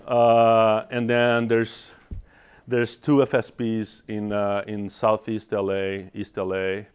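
A man's voice: fairly low pitch, completely flat delivery, takes it slowly, clear, resonant tone. A middle-aged man with a Brazilian accent, giving a presentation to an audience and often restarting and repeating words. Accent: Brazilian Portuguese. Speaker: regional director of mental health programs. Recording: dull lo-fi sound.

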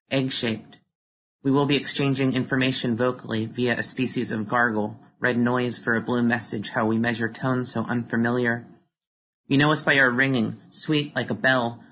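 A poet reading their own work aloud as a literary recitation, in a slightly dull recording. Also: medium pitch. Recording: dull recording with faint noise.

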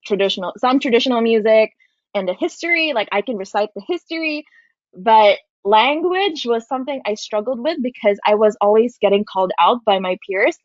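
A woman in her late twenties, raised in Kuwait, telling stories in a casual chat, animated and full of restarts. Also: fairly high pitch, brisk, no room sound, muffled recording. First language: Tagalog. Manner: animated storytelling with many likes and restarts. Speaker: podcast guest.

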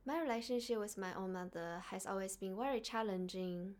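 A woman from China with a Chinese accent, speaking earnestly and softly as the host of a reflective solo podcast. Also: mid-range voice, brisk.

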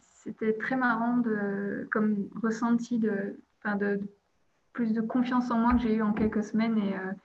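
A woman's voice: a little high, flat delivery, normal speed, darkish tone, muffled recording. A young woman from the province of Liège in Belgium, a podcast guest, talking casually with many hesitations and light laughs. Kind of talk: casual interview chat.

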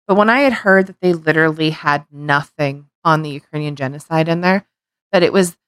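A woman's voice: sits low, fairly quick, clear, resonant tone, no room sound, clean studio sound. A middle-aged woman co-hosting a podcast, talking in a heated, rambling way with frequent restarts and swearing. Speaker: podcast co-host. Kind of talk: casual opinion chat.

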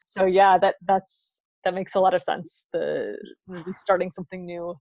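A woman's voice: on the low side, fairly even intonation, moderate pace, gravelly, a little mellow, no room sound. Reflective female podcast host; dry, close recording. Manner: thoughtful, many fillers. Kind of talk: casual interview.

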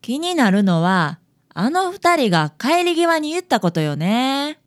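A woman's voice: high-pitched, sing-song, unhurried. A woman with a Kansai accent playing a sensible young detective's assistant in a community radio drama, talking in a wry, teasing way. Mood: curious.

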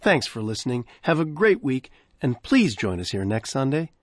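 A male radio host delivers scripted closing remarks in a measured announcer manner. His pitch rises and falls expressively, and the studio sound is close and dry. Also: no echo. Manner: measured, warm announcer delivery with lively phrasing. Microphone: studio microphone.

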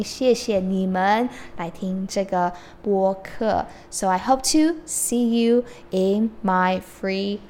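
Woman teaching Chinese phrases. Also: mid-range voice. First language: Mandarin Chinese. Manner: switches between English explanation and slow modeled Chinese phrases. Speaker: Chinese teacher and podcast host. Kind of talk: casual language lesson.

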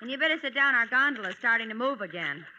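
A woman acting a wry, scolding, sharp-tongued travel companion in a 1950s radio comedy, needling drily. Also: fairly high pitch, racing. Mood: dry. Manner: dry, deadpan needling. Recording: muffled, very noisy 1950s radio recording.

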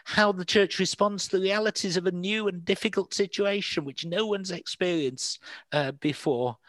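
Thoughtful Mancunian priest hosting a podcast. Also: male voice, on the high side, fairly bright tone, no room sound. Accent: Manchester. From England. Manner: thoughtful, rambling with many fillers and restarts. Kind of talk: casual conversational podcast chat.

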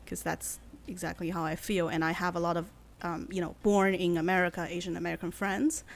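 A woman in her late twenties from China, a native Chinese speaker, answering interview questions thoughtfully with many pauses and fillers. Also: fairly low pitch, gravelly. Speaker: guest. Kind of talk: casual interview.

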